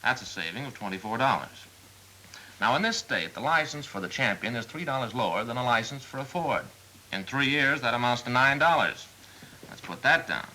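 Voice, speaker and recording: An actor playing a smooth, persistent car salesman with polite, persuasive, methodical patter in a mid-century training film. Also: medium pitch, lively intonation, fairly quick, sharp tone, poor audio. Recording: old film soundtrack with faint hiss.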